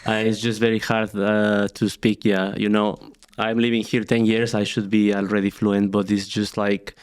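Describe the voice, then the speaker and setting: Man, interview guest, lowish voice, laid-back, lots of fillers. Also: flat delivery, moderate pace, smooth. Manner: easygoing, fillers like "you know". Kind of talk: casual interview chat.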